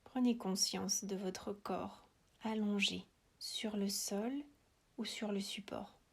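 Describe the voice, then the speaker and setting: A woman guiding a relaxation meditation in a soothing, hushed voice, with short phrases, long pauses and breathy, whispered endings. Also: medium pitch, fairly even intonation. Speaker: yoga nidra relaxation guide.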